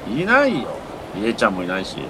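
A man acting a fussy, exasperated straight-man friend with quick retorts in a comedic radio drama. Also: fairly low pitch, huge pitch swings, background noise. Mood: annoyed.

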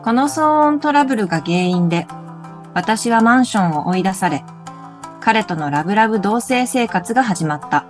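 Brief: woman, mid-pitched, hesitant and flustered, faint music underneath. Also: expressive, normal speed. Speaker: lead and narrator of a radio drama. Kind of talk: comedic radio drama acting.

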